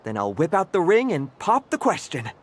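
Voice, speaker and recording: An actor playing a cheerful, smitten young man in a video game, eager and playful, with a husky voice. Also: a little high, huge pitch swings, normal speed. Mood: gleeful.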